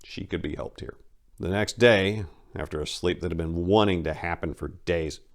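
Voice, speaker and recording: A man with a deep voice serves as host and narrator. He delivers scripted story narration in a dramatic, theatrical way, leaning on words for emphasis. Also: moderate pace, gravelly.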